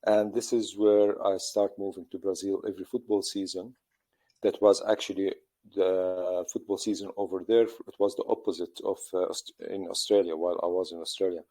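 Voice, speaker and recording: Man from lebanon, low mellow voice, slow halting answers, many fillers, middle-aged. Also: level tone. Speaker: football coach. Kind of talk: interview answers.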